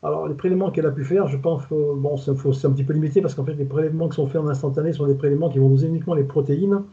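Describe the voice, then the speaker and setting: An older man with a southern French accent talks very fast over a dull, lo-fi video call line. His voice is mellow and dark and sits a little high, and he rambles in a colloquial way, stumbling and repeating words as he goes. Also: fairly even intonation. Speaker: retired food safety inspector, guest expert. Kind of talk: casual webinar talk.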